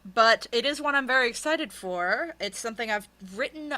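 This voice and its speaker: A woman's voice: a little high, animated, normal speed, pushed and bright. A woman speaking as an interviewed author in casual, chatty talk, candid and jokey with a self-deprecating streak. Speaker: author being interviewed about a crowdfunded book.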